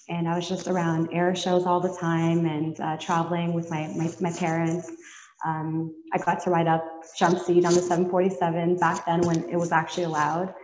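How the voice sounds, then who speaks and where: A woman from Canada answering interview questions, with many pauses and restarts. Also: fairly low pitch, darkish tone, a little hiss or hum. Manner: hesitant, many fillers and restarts. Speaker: guest pilot. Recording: dull, echoey remote audio.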